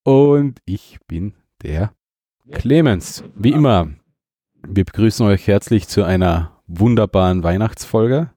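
Mellow, lowish Austrian male podcast host. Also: lively intonation, slow, no room sound, clean studio sound. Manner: jokey, self-mocking. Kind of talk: casual, rambling chat.

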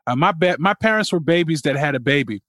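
A man's voice: on the high side, animated, fast talker, fairly bright tone, no echo, clean studio sound. A middle-aged man from Queens, a college president telling his life story as a guest in a podcast interview, earnest and reflective, with fillers and restarts. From Queens, New York.

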